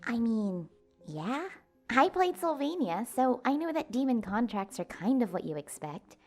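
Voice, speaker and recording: A woman playing a kind, awkward young human in an audio drama, hesitant and casual but expressive. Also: fairly high pitch, a little slow, soft background music, full character voice. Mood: earnest, kind. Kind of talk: audio drama character acting.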